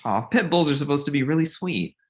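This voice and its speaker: A man's voice: fairly high pitch, animated, brisk, lightly acted. A man playing an easygoing, teasing, dog-loving roommate in his twenties at a comedy table read. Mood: challenging.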